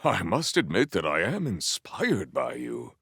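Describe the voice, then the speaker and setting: A proud video game warrior with a low, gravelly voice and stately, formal phrasing. He speaks slowly and deadpan, yet swings widely in pitch with grandiose flair. The sound is dry and close. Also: no echo, full character voice. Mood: solemn, grandiose. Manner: formal, stilted, grandiose diction. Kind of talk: scripted video game character lines.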